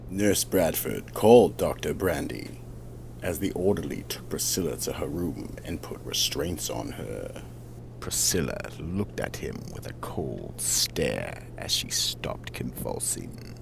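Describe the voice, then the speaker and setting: A man's voice: rumbling low, lively intonation, slow pace, vocal fry, noisy background. A man narrating a scripted horror drama in an ominous, dramatic storytelling manner.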